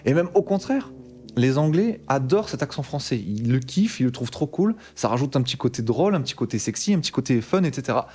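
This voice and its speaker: A man with a Bordeaux French accent talks casually to camera as a tech YouTuber. He is animated and slangy, with a mildly exasperated tone. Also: medium pitch, quick pace, slightly rough.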